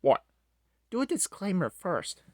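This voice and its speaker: A woman's voice: lowish voice, very animated, a little slow, warm tone, no room sound, clean studio sound. A woman casually interjecting with prompts and corrections, as if steering someone through a script.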